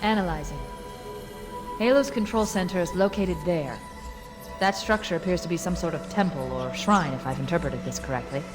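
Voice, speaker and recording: A woman playing a composed, clever military artificial intelligence in a sci-fi game, speaking in a precise, briskly informative way. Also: lowish voice, music in the background, natural voice. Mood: composed, analytical. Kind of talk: scripted sci-fi game acting.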